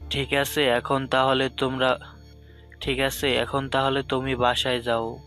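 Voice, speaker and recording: A man playing a lenient police sub-inspector in an audio love-story drama, mildly reproachful and measured. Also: medium pitch, completely flat delivery, bright, forceful tone, soft background music. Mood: resigned.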